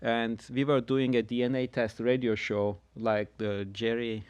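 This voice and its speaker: A middle-aged man from Turkey, a guest telling his story in a measured way with dry humor. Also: mid-range voice, little pitch movement, darkish tone, clear, resonant tone, no room sound, dull audio. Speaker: guest, insurance agent. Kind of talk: casual podcast conversation.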